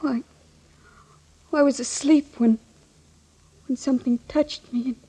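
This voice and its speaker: High-voiced frightened young lady. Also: fairly even intonation, dark tone, husky, exaggerated voice, rough recording. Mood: fearful. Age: young adult. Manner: hesitant, halting. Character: frightened young lady, fragile but brave. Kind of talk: old radio thriller acting.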